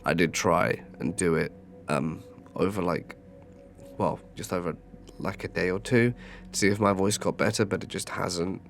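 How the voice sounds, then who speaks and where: Hoarse deep-voiced man with a cold. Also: moderate pace, soft background music. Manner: chatty, apologetic sign-off. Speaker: horror channel host and narrator. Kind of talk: narration and casual outro.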